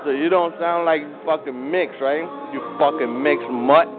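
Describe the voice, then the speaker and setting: A man with a slightly raised pitch goads his opponent, repeating mocking questions. Loud music nearly swamps his thin, phone-like voice. The sound is squeezed and lo-fi. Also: steady tone, normal speed. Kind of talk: heated argument in a voice chat.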